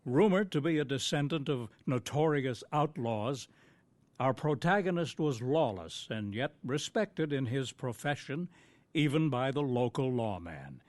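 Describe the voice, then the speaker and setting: A man's voice: mid-range voice, expressive, measured pace, a little mellow, no room sound. An American man giving scripted, formal narration as the announcer of a radio drama. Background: music.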